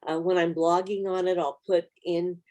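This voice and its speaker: A middle-aged woman with a somewhat low voice co-hosts a Bible study. She talks in an earnest, encouraging way and passes on practical advice in a casual conversation. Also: flat delivery, normal speed, dark tone. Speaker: Bible study author and co-host.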